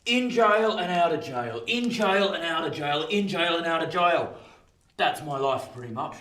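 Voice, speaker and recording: An actor playing a rough old ex-convict in a radio play, with a working-class English accent, telling his past in an emphatic, colloquial way. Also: fairly high pitch, animated, moderate pace, a bit bright. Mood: weary candour. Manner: colloquial, emphatic storytelling that swings into indignation. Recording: roomy, distant from the mic.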